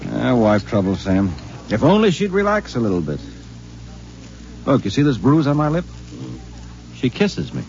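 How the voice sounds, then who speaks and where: A wisecracking middle-aged husband, wry and incredulous, his pitch swinging freely as he jokes. The sound is a muffled 1950s radio broadcast with faint hiss underneath. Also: big pitch swings, moderate pace, long pauses, a little mellow. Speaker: radio drama actor.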